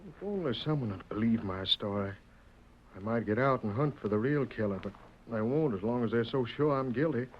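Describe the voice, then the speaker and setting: A man's voice: medium pitch, brisk, natural voice. A man playing a wrongly jailed frontier man in a radio western, with a folksy American West accent. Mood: despairing. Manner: folksy rustic Western speech. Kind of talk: 1940s radio drama acting. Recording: noisy lo-fi old radio recording.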